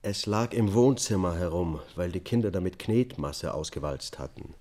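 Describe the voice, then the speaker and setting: An actor playing a subdued, haunted man on trial for killing his family, testifying in a measured, matter-of-fact way in a radio drama. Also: low voice, animated, dark tone. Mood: defensive.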